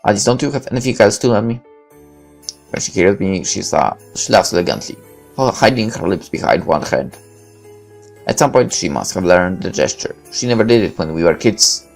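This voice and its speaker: Guy reading a visual novel out loud, gravelly, pitch moves around a lot, music behind. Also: expressive, normal speed. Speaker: visual novel reader and narrator. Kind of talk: dramatic read-aloud of scripted first-person narration.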